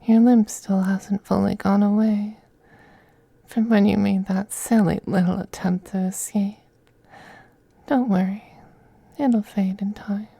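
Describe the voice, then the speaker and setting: A performer voicing a possessive, androgynous male vampire master in an ASMR roleplay, soft, breathy and often whispering, coaxing and condescending. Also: mid-range voice, dry recording. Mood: softly menacing. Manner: slow, purring, coaxing, condescending. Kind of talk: ASMR roleplay, intimate scripted monologue.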